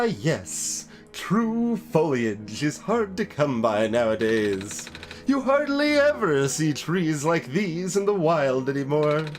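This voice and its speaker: A man voicing a gentle talking plant, a botanist in a game, with drawn-out, wistful musing. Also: a little high, pitched above the performer's own voice. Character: gentle plant expert, a talking potted plant in shirt.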